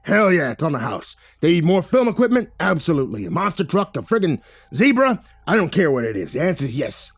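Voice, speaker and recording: A gruff mob-style money man from an audiobook, acted out by a male narrator. He rumbles out slangy, bossy orders with plenty of swearing. He sounds menacing and pushes his words out loudly. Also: big pitch swings, brisk, no room sound, full character voice. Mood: emphatic, bossy. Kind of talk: audiobook character voice.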